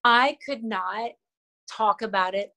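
A woman's voice: mid-range voice, slow. Earnest Filipino woman, middle-aged. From Philippines. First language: Tagalog. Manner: earnest, with frequent fillers and soft laughs. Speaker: actress, interview guest. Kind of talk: casual interview talk.

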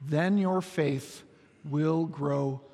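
A man in his forties preaching a sermon, telling stories with humor and moving between soft, slow passages and quick, lively ones. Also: fairly high pitch. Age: 40s. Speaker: pastor. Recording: long reverb of a large hall.

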